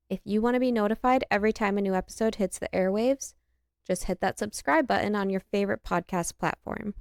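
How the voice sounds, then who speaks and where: A woman's voice: mid-range voice, vocal fry, a little mellow, no room sound, clean studio sound. A woman reading a scripted podcast introduction in a polished, upbeat announcer manner.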